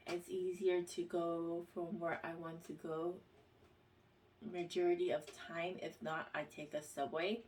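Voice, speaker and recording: A woman vlogger reads questions aloud and answers them in a casual, halting way, with plenty of pauses and fillers. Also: lowish voice, little pitch movement, darkish tone, far from the mic, slight background noise. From United States.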